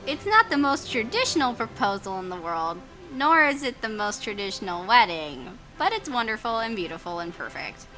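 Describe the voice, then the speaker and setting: A woman hosting a let's play, reading story text aloud in a playful, expressive way with exclamations and casual asides. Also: fairly high pitch, normal speed, hardly any pauses, rough recording. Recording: music behind the voice.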